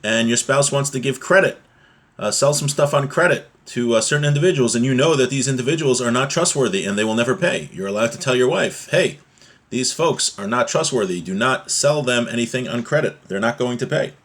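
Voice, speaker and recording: A man with an average-pitched voice gives a religious lesson. He moves between reading the text and explaining it in informal, plain-spoken terms, as a teacher would. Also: brisk, fairly bright tone.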